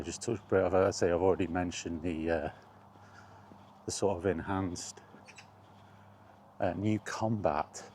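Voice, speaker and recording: A middle-aged man in an unhurried, halting, reflective solo chat as a gaming vlogger. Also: sits low, a little mellow, a little hiss or hum. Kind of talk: rambling casual chat about video games.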